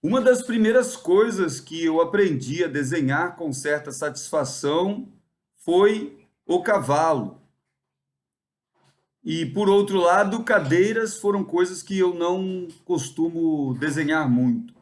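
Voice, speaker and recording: A man from the interior of São Paulo, Brazil, talking in a rambling, reflective monologue, musing and often pausing mid-thought. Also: fairly high pitch, animated.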